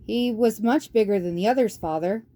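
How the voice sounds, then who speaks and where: Woman doing a young boy mouse from a kids book, earnest and eager, gentle tone. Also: mid-range voice, normal speed, natural voice. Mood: earnest, excited.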